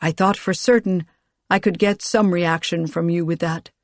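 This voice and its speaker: A narrator voicing a towering, commanding military ruler in an audiobook, with a loud, booming delivery and formal, measured speech. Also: steady tone, no echo, lightly acted. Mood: amused, firm. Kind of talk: audiobook character dialogue.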